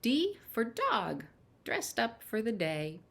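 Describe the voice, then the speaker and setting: A woman reading picture books aloud to young children in simple, measured phrases, with little asides to her listeners. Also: fairly high pitch, wildly swooping pitch. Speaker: children's storytime reader. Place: at home.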